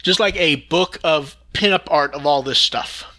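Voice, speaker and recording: A man's voice: a little high, normal speed, sharp tone. A man hosting a casual fan news chat, talking in an enthusiastic, rambling way. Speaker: podcast host.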